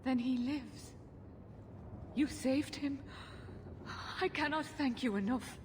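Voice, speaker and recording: A woman's voice: high voice, takes it slowly. A woman playing an anxious elf mother in a video game, speaking in an emotional, pleading manner. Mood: relieved, grateful. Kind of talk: video game cutscene acting. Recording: reverberant, faint ambient noise.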